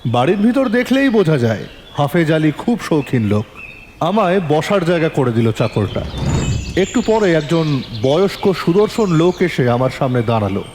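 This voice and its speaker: A man's voice: on the high side, big pitch swings, moderate pace, darkish tone, slight background noise. A man playing a polite, probing police detective who narrates his case in a dramatic audio story, with questioning delivery. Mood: measured, observant. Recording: long reverb, music bed.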